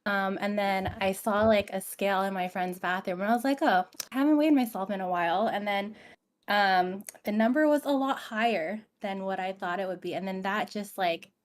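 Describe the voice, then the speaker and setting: A woman's voice: mid-range voice, moderate pace. A woman chatting casually as a podcast panelist, reflective and a bit hesitant in her phrasing.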